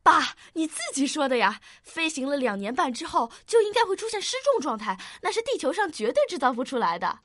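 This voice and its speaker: A woman's voice: sits high, sing-song, a little fast, few pauses, fairly bright tone, no room sound, clean studio sound. A female performer playing a confident young child of a scientist in a radio drama. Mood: confident, insistent.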